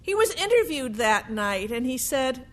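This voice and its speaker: A woman telling a true sports history story in a deliberate way, with dramatic pauses and emphasis. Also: high-pitched, big pitch swings.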